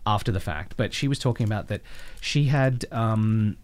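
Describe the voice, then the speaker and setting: Low-voiced man in casual conversation. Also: normal speed. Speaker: voice actor guest. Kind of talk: casual interview chat.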